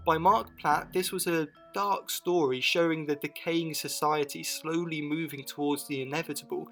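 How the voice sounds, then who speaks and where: A man's voice: a little high, steady tone, normal speed, fairly bright tone. A man narrating a scripted retrospective video essay, adding his own opinions. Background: music bed.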